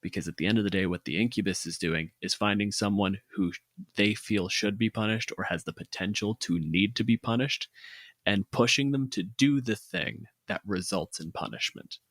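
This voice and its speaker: A man's voice: lowish voice, moderate pace, a bit bright, no room sound. A man in a casual discussion, explaining his ideas bluntly and with plenty of swearing.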